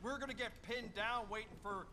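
A man's voice: sits high, fairly quick, a little hiss or hum. An actor playing a brash, irritable, sarcastic soldier in a video game cutscene, griping as he talks. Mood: exasperated. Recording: loud background music.

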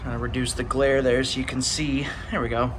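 A man's voice: mid-range voice, little pitch movement, a little fast, a bit bright. Man vlogging casually outdoors over traffic noise. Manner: dry, self-deprecating sarcasm. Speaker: urban exploration vlogger. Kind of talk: casual improvised walking-tour commentary. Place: outdoors, walking around a building exterior. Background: passing cars, outdoor urban ambience.

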